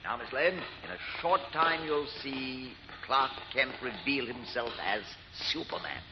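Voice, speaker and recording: An actor playing a coolly commanding, scheming British ex-detective in an old radio drama, speaking in a clipped, patronising way. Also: male voice, mid-range voice, unhurried, highs cut off, natural voice. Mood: smug, commanding. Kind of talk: 1940s radio acting. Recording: hollow, noisy old radio recording, long reverb.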